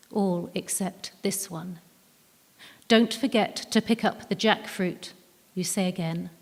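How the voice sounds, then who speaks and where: Female story reader, measured literary delivery, mid-range voice, live hall reverb. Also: medium pitch, a little mellow. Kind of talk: literary story reading, first-person narration. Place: live event venue, indoors. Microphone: stage microphone. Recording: echoey hall at a live event.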